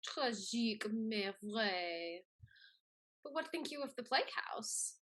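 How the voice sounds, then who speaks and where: A woman's voice: fairly high pitch, expressive, takes it slowly, a bit bright, heavily acted. A woman playing a scheming, catty society lady in a Restoration comedy, with an arch, pointed, mannered delivery. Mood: arch, worldly. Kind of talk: theatrical Restoration comedy acting.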